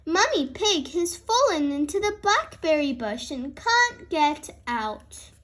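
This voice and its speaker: A woman's voice: sits high, big pitch swings, slow, fairly bright tone, slight background noise. A woman reading a children's picture book aloud as host, expressively and aimed at young listeners. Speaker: host and read-aloud storyteller.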